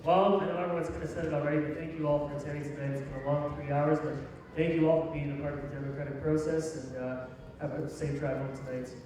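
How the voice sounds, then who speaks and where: A man making a folksy, emphatic campaign pitch as a council candidate at a public debate. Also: flat delivery, quick pace. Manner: folksy, emphatic, plain-spoken. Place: large hall, public debate. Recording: echoey hall, distant mic, faint noise.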